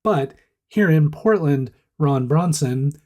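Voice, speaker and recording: A man hosting a casual startup news rundown, hoarse and stuffed up with a nasal voice, chatty and self-deprecating. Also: on the high side, lively intonation, slow, a little mellow.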